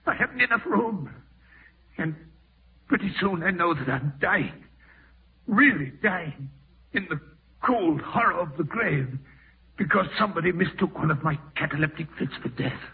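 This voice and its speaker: A man's voice: on the high side, expressive, normal speed, pauses often, husky, distant mic, heavily acted. An actor playing an ailing, domineering old man who dreads being buried alive, in 1940s radio horror style. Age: older. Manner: insistent, commanding, brooding. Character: old ailing man, morbidly fearful of live burial, domineering. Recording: muffled vintage radio broadcast, thin narrow band.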